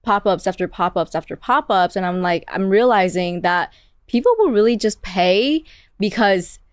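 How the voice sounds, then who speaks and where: Chatty Vietnamese-born woman chef, smooth voice. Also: medium pitch, lively intonation, moderate pace, dry recording, studio quality. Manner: chatty, many "like" fillers. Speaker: chef guest. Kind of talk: casual interview chat.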